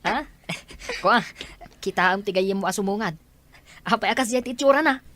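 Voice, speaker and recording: A woman's voice: mid-range voice, moderate pace, fairly bright tone, slight background noise, voice pushed up, lightly acted. A woman playing a gossipy, mocking female wedding guest who teases and exclaims in a radio drama. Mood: mocking amusement. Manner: exclaiming, teasing.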